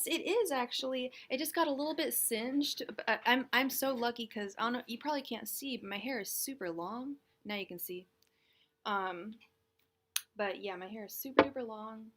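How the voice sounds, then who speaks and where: A woman's voice: a little high, fairly bright tone. A young woman hosting a tarot livestream, chatting casually, greeting viewers by name and laughing often. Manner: says she talks fast.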